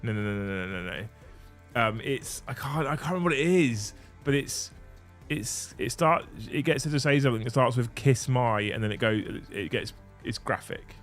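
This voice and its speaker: A man in his thirties hosts a laid-back livestream, chatting with viewers in a joking, crude way. His voice sits at an ordinary middle pitch, and faint music plays under the talk. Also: expressive, moderate pace. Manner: banter, swears freely, laughs often. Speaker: livestream host. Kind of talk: casual livestream chat.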